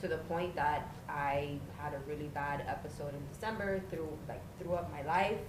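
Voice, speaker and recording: A woman's voice: low-pitched, steady tone, normal speed. Candid woman in her thirties, echoey room. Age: 30s. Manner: candid, matter-of-fact storytelling. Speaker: podcast guest. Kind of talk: casual podcast chat. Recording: echoey room with noticeable background noise.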